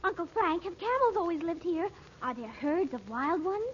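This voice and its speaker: Sixteen-year-old girl, very high voice, excitable, curious, radio serial, dull vintage recording. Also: moderate pace, a little hiss or hum. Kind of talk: old-time radio adventure serial acting.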